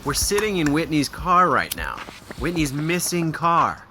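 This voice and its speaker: A man's voice: fairly high pitch, sing-song, a little slow, pushed and bright, a little hiss or hum, full character voice. A young man with an American accent acting in an audio drama as a lovesick, frantic, devoted teenage boy, intense and agitated. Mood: desperate, agitated.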